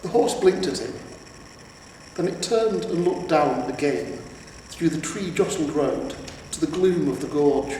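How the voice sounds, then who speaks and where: A man's voice: on the high side, a little slow, warm tone, recorded from a distance. A man reading scripted fantasy fiction aloud as a storyteller. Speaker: amateur audiobook narrator. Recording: very echoey reverberant room, faint noise.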